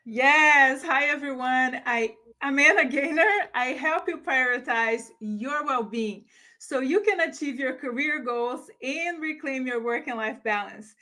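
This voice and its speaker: A woman's voice: sits high, a little slow, sharp tone. A woman from Brazil, casually explaining things as a coach co-hosting a live online workshop.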